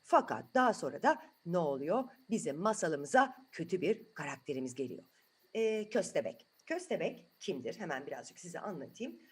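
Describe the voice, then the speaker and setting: A woman's voice: fairly low pitch, big pitch swings, moderate pace. A woman telling a story to children in an improvised, chatty way, asking the listeners questions as she goes.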